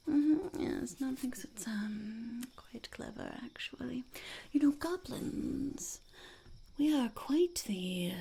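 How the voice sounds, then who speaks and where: Girl doing a sly smug goblin lady for asmr, super creaky whisper, really unhurried, up close on the mic. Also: on the high side, warm tone, exaggerated voice. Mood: smug, welcoming. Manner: speaks of self in third person, slow, halting, conspiratorial. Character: sly, blunt female goblin entrepreneur, smugly cynical. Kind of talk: ASMR roleplay, whispered and soft-spoken.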